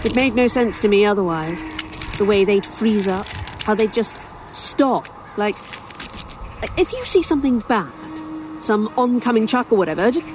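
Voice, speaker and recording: A woman's voice: a little high, lightly acted. An actress plays a weary young woman writer in an audio drama, sardonic and dry. Her delivery is that of someone worn down and unimpressed. Faint outdoor noise and cawing sit behind her voice. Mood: uneasy, reflective, then exasperated. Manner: dry, sarcastic, hesitant. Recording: faintly noisy outdoor sound bed. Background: crows cawing, outdoor ambience.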